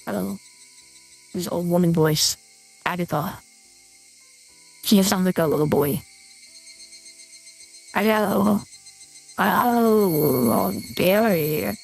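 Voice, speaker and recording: A woman filtered into another woman's voice with a synthetic, processed sheen. She talks slowly and sounds puzzled, a little on the low side, with soft music underneath. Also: gravelly, slightly rough, full character voice, low-quality recording. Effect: voice-changer filter.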